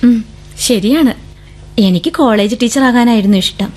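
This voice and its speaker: An actress in a radio drama playing a gentle, earnest young woman who is an eldest sister. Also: on the high side, normal speed, close to an everyday voice. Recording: radio drama with faint music.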